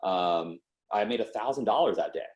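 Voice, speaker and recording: Low-voiced young man telling stories. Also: moderate pace. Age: 20s. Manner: lively, slangy, acts out quotes. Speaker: podcast guest. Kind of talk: casual storytelling in an interview.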